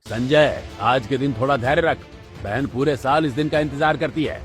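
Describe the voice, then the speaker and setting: A man performs a middle-aged father in a family cartoon. He speaks calmly and reasonably in a medium pitch over background music. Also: normal speed, close to an everyday voice. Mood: calmly advising. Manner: calm, admonishing.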